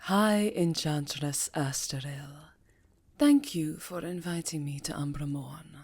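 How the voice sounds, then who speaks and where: A woman's voice: sits low, takes it slowly, darkish tone, slightly rough, no echo, exaggerated voice. A woman playing an elegant, aristocratic vampire countess, courteous and darkly charming, speaking in a courtly, genteel way for an ASMR fantasy roleplay. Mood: courtly, charmed.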